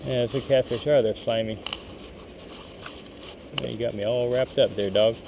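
A man's voice: mid-range voice, fairly even intonation, normal speed, vocal fry, background noise. A man fishing at a creek outdoors, talking in a laid-back, teasing way, recorded on an action camera. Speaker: angler. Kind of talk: casual banter while fishing.

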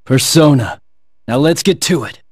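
A man's voice: on the high side, unhurried, husky, no room sound, studio quality. An actor playing a cool, resolute teenage swordsman in a fighting video game, speaking in terse, clipped battle lines. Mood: calm, confident. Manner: terse, clipped battle barks and calm taunts.

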